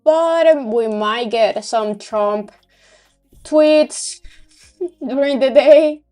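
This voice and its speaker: A woman with a Venezuelan accent chatting casually on a day-trading livestream, thinking aloud with fillers and pauses. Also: high-pitched, mellow, faint music behind. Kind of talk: casual live-stream chat.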